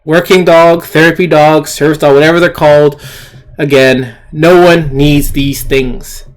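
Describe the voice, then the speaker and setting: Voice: mid-range voice, fairly even intonation, measured pace. A person giving an indignant, opinionated commentary, emphatically repeating phrases and asking rhetorical questions. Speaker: video commentator. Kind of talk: opinionated rant commentary on shared screen clips.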